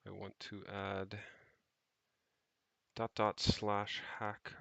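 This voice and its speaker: A man giving casual think-aloud commentary as he codes, muttering to himself in a halting way. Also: lowish voice, creaky, a bit bright, no echo, dull audio. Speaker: programmer streaming own project.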